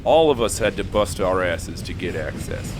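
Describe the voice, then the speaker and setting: A man's voice: low-pitched, lively intonation, normal speed, noisy background. A man playing a surly, scornful bully in a gang of science thugs in an audio drama. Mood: menacing. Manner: curt, scornful.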